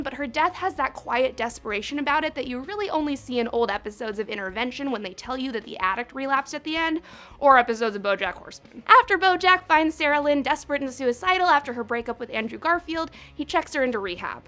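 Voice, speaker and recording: A woman's voice: high-pitched, a bit bright. A young woman hosting a countdown video, talking in a sarcastic, profane, chatty way. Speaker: host. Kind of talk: snarky countdown commentary.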